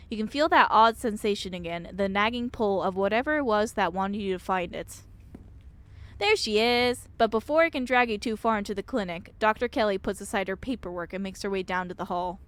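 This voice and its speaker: Woman game streamer, mid-pitched. Giggly and excitable, switches between read-aloud narration and casual reactions. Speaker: streamer playing and reading aloud a visual novel.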